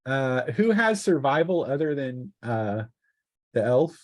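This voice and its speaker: Halting man running a tabletop game. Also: mid-range voice, sing-song. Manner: halting, restarting descriptions mid-sentence. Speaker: game master. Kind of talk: improvised tabletop roleplaying narration.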